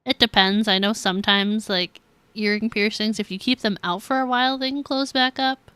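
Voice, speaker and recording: A young woman livestreamer chatting casually with viewers in a halting, conversational, giggly way while playing a game. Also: medium pitch, fairly even intonation, bright, forceful tone, clear, resonant tone, no room sound. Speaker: game streamer.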